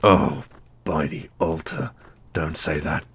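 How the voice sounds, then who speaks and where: A man playing a wry, teasing immortal brother in a fantasy audio roleplay, speaking deliberately. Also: extremely low pitch, lively intonation, creaky, fairly bright tone, breathy edge, exaggerated voice.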